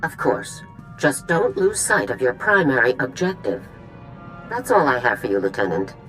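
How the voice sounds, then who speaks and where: A commanding female general, briefing crisply over a comm link. Her voice sits very low yet moves with lively inflection, with soft music underneath. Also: moderate pace, full character voice, low-quality recording.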